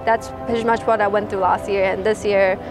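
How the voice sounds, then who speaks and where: A woman driver from Taiwan talks through her season in a casual interview. She speaks hesitantly with fillers, at a middle pitch. Strong music plays behind her. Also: level tone, a little fast. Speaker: race car driver. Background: loud background music.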